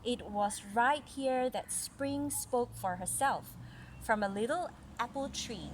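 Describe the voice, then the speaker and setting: Slow-paced woman reading to a child, noisy background. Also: on the high side. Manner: gentle, encouraging, pausing to show and explain pictures. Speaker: storyteller reading a picture book to a young child. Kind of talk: casual read-aloud storytelling with chatty asides. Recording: noisy recording with vehicle-like background hum.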